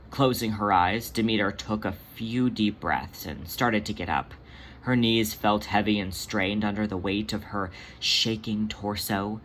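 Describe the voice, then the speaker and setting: A narrator reading a fan story aloud in an expressive amateur style. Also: mid-range voice, a little hiss or hum. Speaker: podfic narrator and channel host.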